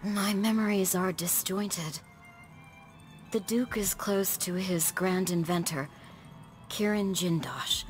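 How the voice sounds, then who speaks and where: A woman playing a frail, remorseful doctor in a video game, speaking in a halting, subdued way. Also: medium pitch, faint music behind, close to an everyday voice. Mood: weary, remorseful. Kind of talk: video game cutscene acting.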